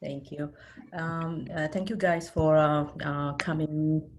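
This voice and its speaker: A woman's voice: low-pitched, steady tone, slow, darkish tone, a little hiss or hum. A middle-aged woman with an Ethiopian accent, giving a personal account as an interview guest. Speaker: interview guest, IT specialist and career mentor.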